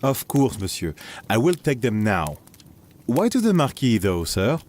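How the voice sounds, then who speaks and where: A man playing a dutiful French guard in an audio drama, deferential and halting in manner. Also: medium pitch, very animated, a little mellow. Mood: deferential, unsure.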